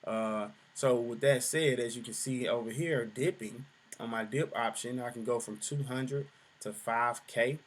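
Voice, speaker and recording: A young adult man with a deep voice giving a relaxed music production tutorial, full of fillers. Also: unhurried. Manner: relaxed explaining, many fillers. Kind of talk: screen-share tutorial explanation.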